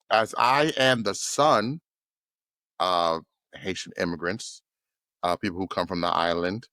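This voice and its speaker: A man hosting a podcast and interviewing his guest in a loose, playful, expressive way. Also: low voice, no echo. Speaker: podcast host. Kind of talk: casual interview chat.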